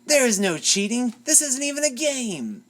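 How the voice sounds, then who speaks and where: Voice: medium pitch, big pitch swings, moderate pace, fairly bright tone, voice pushed up. A reader voicing a brash, blustering heroine who is a space commander. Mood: indignant.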